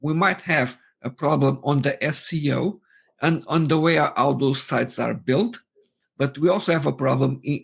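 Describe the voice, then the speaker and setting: Raspy, creaky-voiced man, halting speech. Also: medium pitch. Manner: halting, many stammered restarts. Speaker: podcast co-host. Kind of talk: casual discussion.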